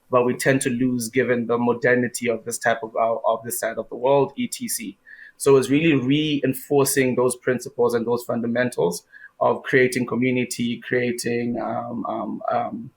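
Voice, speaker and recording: A man's voice: mid-range voice, poor audio. A young man from Zimbabwe, a guest in an interview, answering with many fillers and restarts.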